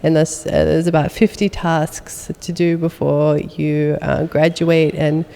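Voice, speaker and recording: A woman's voice: sits low, little pitch movement, a little slow, creaky, warm tone, in a large hall. A young adult woman guest chatting in an interview, full of ums and uhs. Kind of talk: casual interview conversation.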